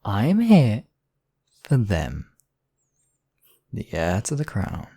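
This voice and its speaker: A man's voice: lowish voice, huge pitch swings, slow, vocal fry, dark tone, husky, heavily acted. A man playing a smug, obsessive demon king in a theatrical audio roleplay, silky and possessive in his wooing. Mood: smug, menacing. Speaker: voice actor. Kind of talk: theatrical roleplay monologue, ASMR audio drama.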